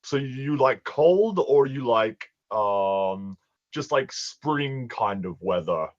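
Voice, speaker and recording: A man's voice: medium pitch, animated, slow, no echo. Chatty London man on a dull call line. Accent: London English. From England. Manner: chatty, laughs often, restarts a lot. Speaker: online English teacher. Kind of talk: casual one-on-one conversation lesson. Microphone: video call.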